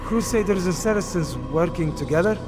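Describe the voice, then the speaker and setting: A loyal assassin brother in a game, highish earnest voice, formal, music behind. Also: male voice, animated, brisk. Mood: sober. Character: sober, watchful male assassin comrade, loyal brother-in-arms. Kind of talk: video game cutscene acting.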